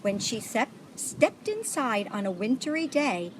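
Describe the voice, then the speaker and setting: A woman reading a picture book aloud to children in a deliberate way, like a volunteer storyteller. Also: a little high, big pitch swings, noisy background. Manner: deliberate, pauses to point out pictures.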